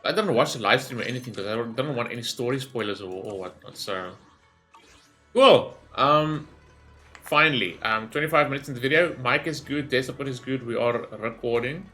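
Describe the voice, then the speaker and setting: A man's voice: medium pitch, normal speed, pushed and bright, soft background music. A man giving casual gameplay commentary, rambling as he thinks aloud and swearing freely. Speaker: gaming streamer recording a reaction video.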